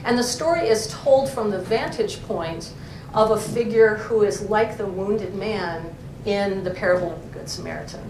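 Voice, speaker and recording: A woman professor presenting a journal issue to a library audience, thoughtful, pausing with frequent ums as she explains terms. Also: medium pitch. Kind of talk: academic talk to a library audience. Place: library event room. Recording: echoey room, far mic, noisy.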